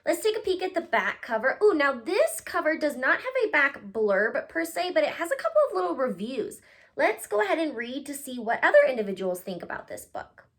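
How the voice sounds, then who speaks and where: A woman reading a picture book aloud to children, expressive and cutesy, talking directly to her young listeners. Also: high voice, fairly quick. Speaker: read-aloud host, storyteller for children.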